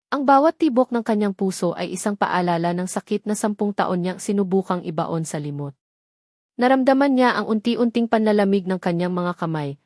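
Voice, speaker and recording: A woman reads a scripted story as its narrator. Her delivery is solemn and melodramatic, at a middling pitch. The recording is close and dry, with no room sound. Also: a little mellow, no room sound.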